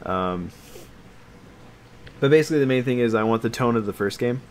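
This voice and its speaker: A man co-hosting a casual podcast chat about games and films, talking in a halting, meandering way that trails off. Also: mid-range voice, lively intonation, a little hiss or hum. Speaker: podcast co-host.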